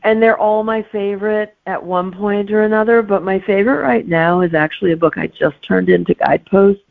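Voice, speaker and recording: A woman's voice: mid-range voice, fairly even intonation, fairly quick, gravelly. A middle-aged woman, a guest author chatting casually in an interview over a narrow phone-like connection. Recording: tinny narrow phone-like line.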